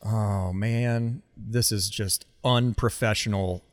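A man's voice: on the low side, fairly even intonation, slow pace, no room sound. A man co-hosting a podcast, ribbing his partner in a dry, sardonic, teasing way. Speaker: podcast co-host. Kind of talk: casual chat.

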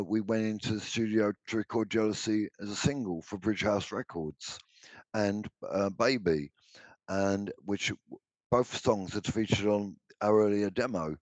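Deep-voiced older man, halting. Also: darkish tone, no room sound, poor audio. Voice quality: deep baritone voice. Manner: hesitant, many restarts and ums. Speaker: musician guest. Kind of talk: interview answers.

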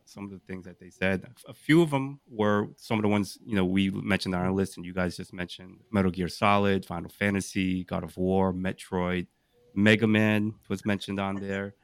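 A man with a clearly low voice co-hosts a casual group podcast. His sound is dry and close, free of echo. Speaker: podcast co-host.

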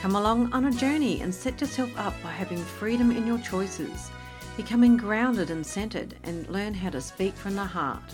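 A woman's voice: medium pitch, animated, normal speed, over music. A woman hosting a podcast interview. She reads a scripted intro, then asks chatty questions and often ends them with "isn't it?". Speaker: podcast host.

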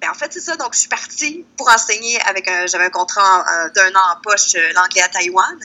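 A woman's voice: mid-range voice, quick pace, sharp tone, poor audio. A woman with a Québécois accent from the Lac-Saint-Jean area, chatting informally and animatedly as she tells stories as an interview guest. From Quebec, Canada. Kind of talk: casual conversational storytelling.

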